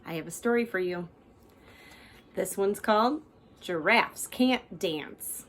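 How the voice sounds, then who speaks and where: Animated woman reading a children's story. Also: mid-range voice. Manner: animated, measured delivery with acted character lines. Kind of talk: expressive read-aloud storytelling for young children.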